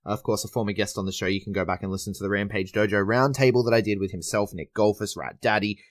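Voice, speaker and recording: A man hosting a podcast news roundup, chatty and enthusiastic while his voice is going hoarse. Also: lowish voice, fairly even intonation, quick pace. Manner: chatty, enthusiastic with frequent uh fillers. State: losing his voice. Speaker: podcast host.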